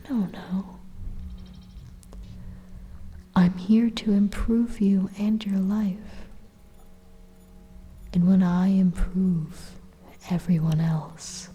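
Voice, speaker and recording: A woman voicing a sinister, condescending AI supercomputer in a breathy, half-whispered ASMR monologue, slow, deliberate and menacing. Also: medium pitch, mellow, exaggerated voice. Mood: calm menace. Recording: reverberant hall-like echo.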